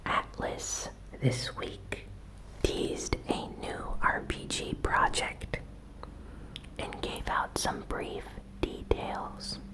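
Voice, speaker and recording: A woman hosting an ASMR gaming news recap in a breathy whisper, with soft, unhurried, informal commentary. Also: rough recording.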